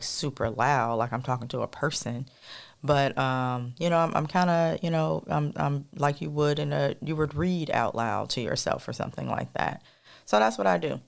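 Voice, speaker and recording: A woman co-hosting a podcast in a casual, rambling monologue with a soft, melodic voice, talking straight to listeners as y'all. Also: a bass voice, gravelly. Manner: rambling, addresses listeners as y'all, many fillers. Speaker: podcast co-host.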